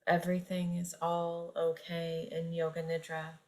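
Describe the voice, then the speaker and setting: A woman guiding a yoga nidra meditation, speaking gently and unhurriedly with long pauses between phrases. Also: on the low side, completely flat delivery. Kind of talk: guided meditation narration.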